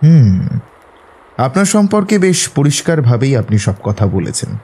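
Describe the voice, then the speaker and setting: An actor playing a composed detective, asking measured, inquisitive questions in an audio drama. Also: male voice, medium pitch, big pitch swings, mellow, husky, heavily acted. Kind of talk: dramatised audio story acting.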